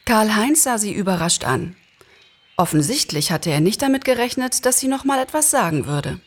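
A woman narrating a story in an expressive, scripted reading. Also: mid-range voice, moderate pace. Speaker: narrator, actress.

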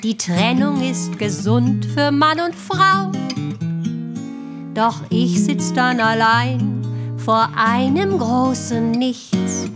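A woman singer performing a tender chanson slowly, half sung and half spoken. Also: fairly high pitch. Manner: slow, tender chanson singing with spoken asides. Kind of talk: live cabaret song with guitar. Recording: song with loud guitar accompaniment.

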